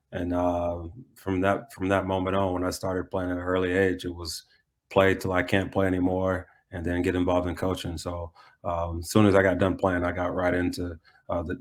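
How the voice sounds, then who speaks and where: Low-voiced, even-toned American man. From United States. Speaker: soccer head coach being interviewed. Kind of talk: conversational interview answers.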